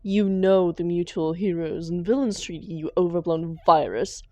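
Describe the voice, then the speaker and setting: A woman playing a sardonic, hot-tempered supervillain with a soft spot for her partner in a comic roleplay audio drama. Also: lowish voice, moderate pace, dry recording, natural voice. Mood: sarcastic, irritated. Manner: sarcastic, dramatic, affectionate with partner.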